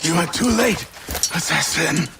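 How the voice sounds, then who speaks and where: A creaky-voiced, ailing colonial gentleman. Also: on the high side, expressive. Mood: defiant, failing. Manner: formal, measured, courtly. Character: ailing, dignified colonial gentleman conspirator, unafraid of death. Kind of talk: dramatic game cinematic acting. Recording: music under the voice.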